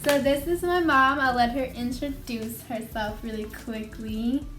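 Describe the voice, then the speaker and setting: Young female host, chatty and bubbly, higher voice, roomy echo with faint music behind. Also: unhurried, a bit bright. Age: young adult. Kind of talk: casual vlog chat. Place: home room. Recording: echoey room with faint music.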